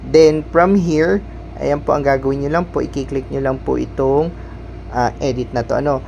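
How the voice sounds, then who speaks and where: A man's voice: medium pitch, steady tone, normal speed, darkish tone, highs cut off. A man hosting an informal step-by-step app tutorial, speaking politely and instructively. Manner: polite, instructional, repeats verbal tags. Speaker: tutorial host. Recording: clearly noisy background hum.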